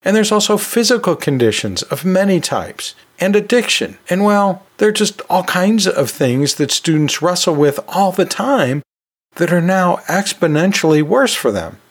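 A middle-aged or older man hosting an educational podcast, talking conversationally and emphatically, with pauses and rhetorical asides. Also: sits high, very animated. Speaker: podcast host and college instructor.

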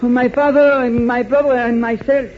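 Earnest boyish radio hero. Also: male voice, very high-pitched, little pitch movement, normal speed. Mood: pleading, meek. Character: eager, earnest boyish young hero, male. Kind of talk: 1940s radio acting. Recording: muffled 1940s radio recording, faint noise.

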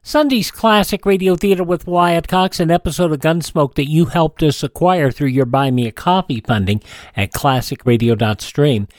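A man with an American accent reading scripted news and programme announcements as a radio host, in measured phrases. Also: on the high side, no room sound, clean studio sound.

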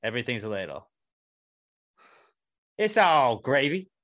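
Casual podcast host, male, bright tone, swoops his pitch, jokes and laughs a lot. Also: a little slow. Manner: joking, animated, laughs often. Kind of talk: casual chat.

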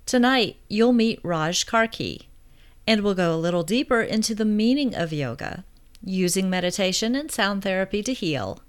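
A woman's voice: medium pitch, animated, normal speed, clear, resonant tone. A woman hosting a podcast, reading an upbeat intro and asking friendly interview questions. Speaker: podcast host.

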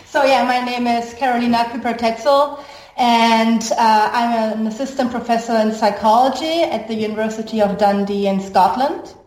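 A woman's voice: fairly high pitch, steady tone, moderate pace, a little mellow. A woman in her thirties with a German accent, explaining her work as an interview guest. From Germany, born in Brazil. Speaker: guest, psychology professor. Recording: echoey room sound.

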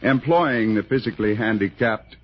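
A man's voice: fairly low pitch, normal speed, slightly rough. Muffled vintage American radio announcer man. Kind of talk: scripted 1960s radio announcing and narration.

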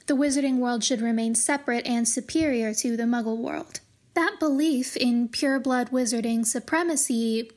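A woman hosting a podcast, giving measured, articulate commentary on a fantasy book series in a scripted, explanatory style. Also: on the high side, a little mellow. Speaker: podcast host.

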